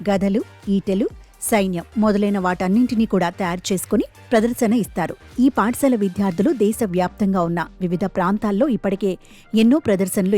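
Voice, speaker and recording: Woman doing a scripted news voiceover, soft mellow voice, bit of music under it. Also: mid-range voice. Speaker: news feature narrator.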